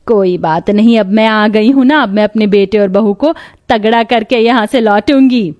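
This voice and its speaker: A woman's voice: mid-range voice, moderate pace, a little mellow, lo-fi sound, close to an everyday voice. A woman voicing a stubborn, fretful elderly mother-in-law in a cartoon story, given to scolding. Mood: doting.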